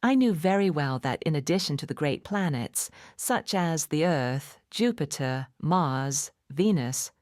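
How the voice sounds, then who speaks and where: A woman narrating a children's storybook in a measured, clearly phrased, expressive bedtime-story manner. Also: low-pitched, no echo, studio quality. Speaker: audiobook narrator.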